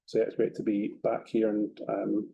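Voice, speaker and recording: A man's voice: on the low side, completely flat delivery, normal speed, vocal fry, warm tone, slightly rough, dull audio. A man with an Edinburgh Scottish accent, a guest in a webinar talking in an easygoing way and often repeating words as he goes. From Scotland. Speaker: guest, property company representative. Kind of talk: conversational webinar talk.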